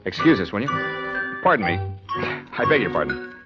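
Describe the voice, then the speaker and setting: A man playing a quick-thinking, wry American private detective, brisk and colloquial, in old radio drama style. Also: lowish voice, sing-song. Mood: wary. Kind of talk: 1940s-50s radio detective drama acting. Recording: muffled old radio recording with music.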